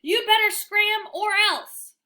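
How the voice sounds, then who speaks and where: A woman voicing an excitable, protective pet dog in a children's storybook, barking urgent and dramatic warnings. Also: very high-pitched, slow pace, voice pushed up, full character voice. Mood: frantic, warning. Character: excitable, protective male pet dog narrator, bold and earnest. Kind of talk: first-person children's storybook read-aloud.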